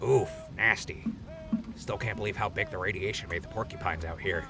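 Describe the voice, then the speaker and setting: A man acts an easygoing, joking buddy in a post-apocalyptic radio play. His voice is on the low side with a gravelly edge, casual and teasing, with music behind. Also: moderate pace. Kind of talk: post-apocalyptic audio drama acting.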